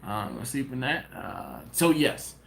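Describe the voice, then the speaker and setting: A man's voice: mid-range voice, lively intonation, moderate pace, vocal fry. Chatty man in an echoey room. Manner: rambling, talks to viewers directly, restarts often. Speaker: barbecue channel host. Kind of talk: casual live-stream chat, reading viewer comments. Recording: roomy echo, faint ambient noise.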